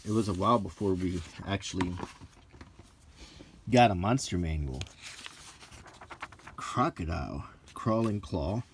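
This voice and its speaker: A man's voice: deep voice, a little slow, vocal fry, long pauses, slight background noise. A middle-aged man chatting casually and unscripted as he browses books, with nostalgic, mildly grumbling asides. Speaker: vlogger flipping through game books. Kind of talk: casual unscripted chat, unintentional ASMR.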